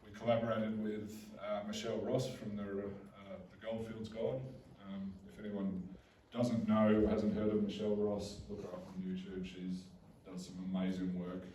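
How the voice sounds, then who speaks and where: A booming Australian man giving a community talk, with plenty of ums and restarts. His voice is low and mellow, and his pitch stays flat. He is picked up from far off in a roomy, echoing hall with a faint hum behind him. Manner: halting, many fillers and restarts. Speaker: mining company representative. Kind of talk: community information session presentation. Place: public meeting hall. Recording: roomy hall recorded from a distance.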